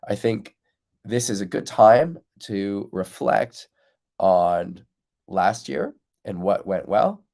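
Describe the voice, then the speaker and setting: A man's voice: low-pitched, gravelly, dark tone. A man hosting a live windsurf coaching stream, chatting casually and answering viewers' comments while still congested from losing his voice. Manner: reads out viewer comments and answers them directly.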